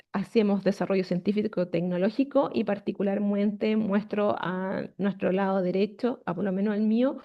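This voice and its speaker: Woman presenting research, dull audio. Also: mid-range voice. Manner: steady explanatory, frequent "eh" fillers. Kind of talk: online conference talk.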